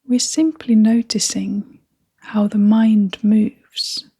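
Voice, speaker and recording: A woman leading a guided meditation in a soft, breathy, half-whispered voice, gently and with long pauses. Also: mid-range voice, steady tone.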